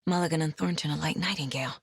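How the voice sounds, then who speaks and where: An actress playing a determined, level-headed FBI agent in a video game, speaking in a measured, serious and slightly husky voice. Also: female voice, sits low, no echo, full character voice. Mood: businesslike, focused. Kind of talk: naturalistic dramatic game dialogue and inner monologue.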